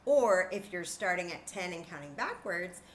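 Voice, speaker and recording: A woman's voice: fairly low pitch, lively intonation, moderate pace, a little hiss or hum. A woman teaching young children in an interactive demonstration, patient and encouraging, thinking aloud as she goes. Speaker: children's story time teacher.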